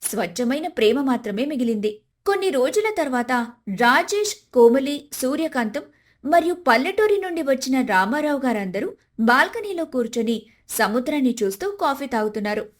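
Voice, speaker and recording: A woman's voice: high-pitched, animated, normal speed. A woman narrating a scripted moral story as storyteller.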